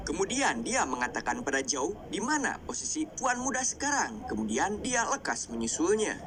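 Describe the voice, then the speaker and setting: A man with a slightly congested, flu-affected voice narrates a film recap in a dramatic storytelling style. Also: fairly high pitch.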